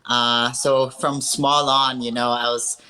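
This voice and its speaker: A woman in her thirties from Austria, a strongwoman athlete telling her story with earnest upbeat warmth in a casual call interview. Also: extremely low pitch, flat delivery, slow pace, low-quality recording.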